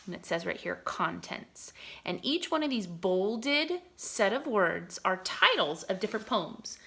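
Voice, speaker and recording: Woman, kindergarten teacher, mid-pitched voice, expressive read-aloud, explaining and reciting for young children, questioning delivery. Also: measured pace, gravelly. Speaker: kindergarten teacher reading to pupils.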